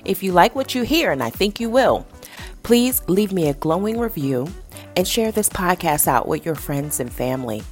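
A woman hosting a mental health podcast, a little raspy and nasal from a head cold, teaching in an upbeat, encouraging way. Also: on the low side, darkish tone. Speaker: podcast host. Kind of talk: podcast education talk.

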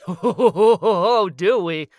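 A man's voice: sits high, very slow, darkish tone, dry recording, studio quality. A man co-hosting a casual podcast chat, talking in a self-deprecating, rambling way. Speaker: podcast co-host and audio drama creator.

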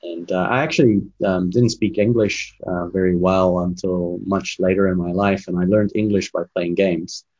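A man's voice: low voice, steady tone, normal speed, few pauses, a little mellow, breathy edge. A man whose first language is Afrikaans, telling his career story in a casual interview with lots of fillers. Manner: rambling storyteller with many fillers. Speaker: guest, game development manager and coach. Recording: dull lo-fi sound.

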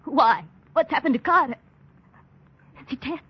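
An actress playing a brassy, scheming young nightclub dame in a 1940s radio crime drama, slangy, melodramatic and wheedling, on a muffled old recording. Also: female voice, sits high, moderate pace, close to an everyday voice. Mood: pleading fear.

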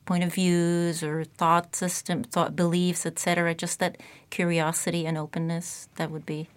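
Guest: woman, Czech accent, lowish voice, thoughtful and halting. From Czech Republic. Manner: reflective, searching for words, soft-spoken. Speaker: podcast guest, therapist. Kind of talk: casual interview chat.

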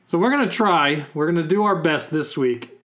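A young man co-hosting a podcast in casual chat, slightly hoarse, laid-back and joking. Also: a little high, animated, normal speed, fairly bright tone. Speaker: podcast co-host.

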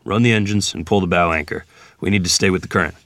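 A man's voice: sits low, quick pace, fairly bright tone. A man playing a gritty, determined 1920s river ferryman in a screenplay table read, terse and commanding. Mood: grim.

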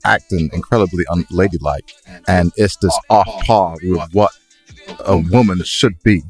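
A man's voice: low voice, normal speed, soft background music. A man co-hosting a casual podcast, debating in an insistent, bantering way. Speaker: podcast co-host.

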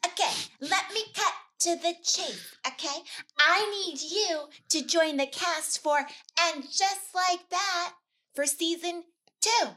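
Lady doing a gushy desperate actress lady, super high voice, draggy theatrical delivery, sketch comedy. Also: takes it slowly, bright, forceful tone, heavily acted. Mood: eager pleading. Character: impression of a gushing, desperate middle-aged actress. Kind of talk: comedy sketch table read.

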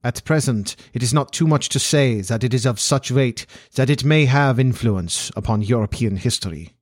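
A man's voice: medium pitch, normal speed, dry recording, studio quality, lightly acted. A man voicing a large, haughty and agitated Bohemian nobleman in a storybook, speaking in a pompous, formal way. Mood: grave, secretive.

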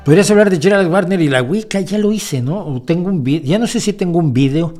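A man's voice: high-pitched, lively intonation, a little fast, a little mellow, faint music behind. A man hosting a show, answering viewer questions in a casual, rambling monologue full of restarts and emphatic opinions. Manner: rambling, with frequent restarts and self-corrections.

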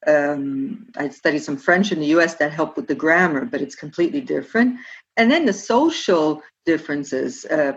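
A woman from Southern California, a historian, answering interview questions in a halting way with many restarts. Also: low-pitched, dull audio. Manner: halting, with frequent restarts and filler words. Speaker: guest historian and guide. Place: remote, home in Palermo.

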